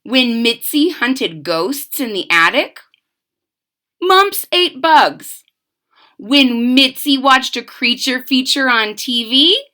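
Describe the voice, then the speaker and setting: A woman's voice: high voice, pushed and bright. A woman reading a children's story aloud expressively, stressing and drawing out key words and adding explanatory asides. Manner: dramatic emphasis, drawn-out key words. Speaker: storybook reader.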